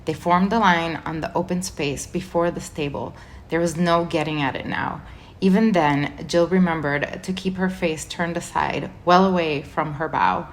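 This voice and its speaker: A woman reading a children's book chapter aloud as a volunteer reader, in a measured, clear way. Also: deep voice, fairly even intonation, slight background noise. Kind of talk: storybook read-aloud narration.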